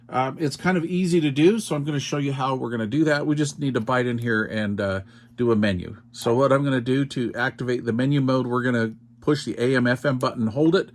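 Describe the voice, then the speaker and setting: A man explaining things in an informal, step-by-step way, as in a hands-on tutorial, often checking in with the listener. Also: mid-range voice. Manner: informal, explanatory, checks in with "all right" and "okay". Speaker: radio product demonstrator.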